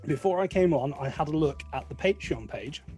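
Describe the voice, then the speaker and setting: A man with a slightly high voice runs a casual quiz as a guest. He sounds easygoing and lays things out step by step over a video call. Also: expressive, brisk, mellow, faint music behind. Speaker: guest quizmaster.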